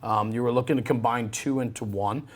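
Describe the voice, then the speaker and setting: A man's voice: mid-range voice, steady tone, brisk. A man hosting a conversational interview, recapping points and often checking them with a "right?". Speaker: interviewer host. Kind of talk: conversational interview about firefighting.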